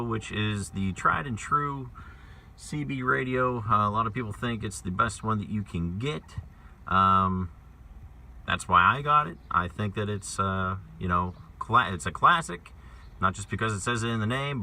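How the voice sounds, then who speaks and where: A man's voice: medium pitch, vocal fry, bright, forceful tone. Guy chatting casually in his truck, kinda rambly, mic a bit far off, lots of vehicle noise. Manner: informal, rambling. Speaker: vlogger, truck driver. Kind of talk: casual vlog talk. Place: inside a truck. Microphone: handheld phone away from mouth. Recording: distant mic, noisy vehicle interior. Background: vehicle and ambient noise.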